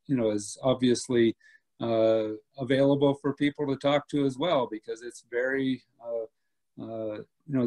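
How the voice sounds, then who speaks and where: Man in his fifties, airport director, measured and hesitant, laptop webcam audio, home room. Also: medium pitch, low-quality recording. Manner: measured, steady, many filler "uh"s. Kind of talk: video call interview answers. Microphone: laptop webcam on video call.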